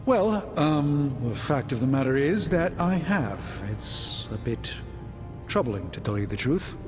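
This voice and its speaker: Game cutscene scholar: formal, anxious, hesitant, mid-pitched man, music clearly underneath. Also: big pitch swings, measured pace. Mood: hesitant, troubled. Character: anxious, scholarly male occult researcher. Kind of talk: video game cutscene acting. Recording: long reverb, music underneath.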